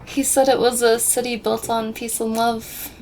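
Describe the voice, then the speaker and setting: A woman's voice: a little high, level tone, darkish tone, slight background noise, lightly acted, poor audio. An actress playing a laid-back young female traveller in casual audio drama dialogue. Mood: teasing. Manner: casual, unhurried.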